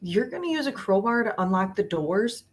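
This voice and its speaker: A woman acts out a determined young woman. Her voice is mid-pitched and natural, practical in manner, as in a script table read. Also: very animated, moderate pace, natural voice. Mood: frustrated. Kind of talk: screenplay table read acting.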